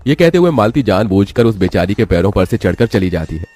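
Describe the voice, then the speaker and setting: Low, mellow male storyteller. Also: big pitch swings, a little fast, soft background music. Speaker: cartoon story narrator. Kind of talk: scripted dramatic story narration.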